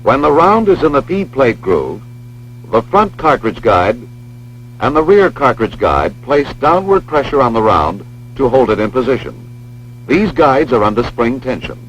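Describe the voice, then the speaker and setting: An American man reading scripted instructional narration for a training film in a measured, precise, formal manner. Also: medium pitch, sing-song, a bit bright. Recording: dull lo-fi film soundtrack, humming noise.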